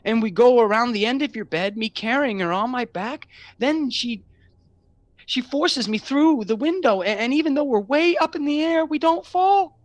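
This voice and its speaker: Hesitant, haunted younger brother. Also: male voice, very high voice, expressive, dry recording. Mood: frightened. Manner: hesitant, halting. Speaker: actor in a radio play. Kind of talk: radio drama acting.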